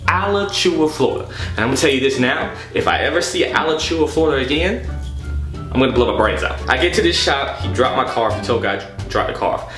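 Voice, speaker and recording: A man telling a story casually and comedically, in an exasperated, animated, slangy way with plenty of swearing. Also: medium pitch, brisk. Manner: animated, exasperated, profane, slangy with repeated "you know what I'm saying". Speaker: storytelling vlogger. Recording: echoey room with music behind.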